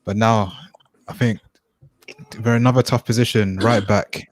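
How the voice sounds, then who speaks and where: A man's voice: fairly low pitch, fairly even intonation, moderate pace, dry recording. A young man, podcast co-host, steering a casual football chat and asking the others questions. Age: young adult. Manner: steers the discussion, asks questions.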